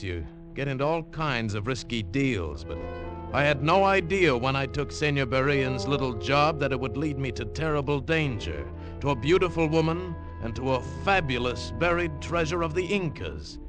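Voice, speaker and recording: An actor playing a worldly American adventurer with a wry, cool delivery, in a 1940s radio drama. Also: male voice, medium pitch, expressive, moderate pace, over music, natural voice. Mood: reflective. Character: worldly American adventurer living by his wits. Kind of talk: first-person narration and dialogue, 1940s radio acting. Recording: old 1940s radio broadcast.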